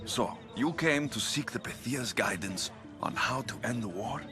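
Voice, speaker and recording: An actor playing a wary, vengeful young mercenary in a video game, with a blunt, guarded delivery. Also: male voice, medium pitch, animated, normal speed, natural voice. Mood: skeptical. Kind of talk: video game cutscene acting. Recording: music behind the voice.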